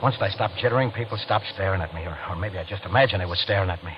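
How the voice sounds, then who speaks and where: A man's voice: low-pitched, very fast, lightly acted. An actor playing a nervous, scheming repairman with an American accent and a stammering, confiding manner, in a 1940s radio thriller. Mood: tense, confiding. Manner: stammering, jittery, confiding in narration. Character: nervous guilty night-shift teletype repairman, scheming and evasive. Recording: muffled, noisy old radio recording.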